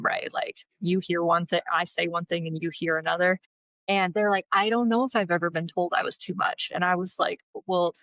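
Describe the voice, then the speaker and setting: A woman co-hosting a podcast, with a deeper voice, chatting casually and thinking aloud as she goes. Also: a bit bright, no room sound, rough recording. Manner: rambling, thinks aloud with restarts. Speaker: podcast co-host. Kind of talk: casual chat.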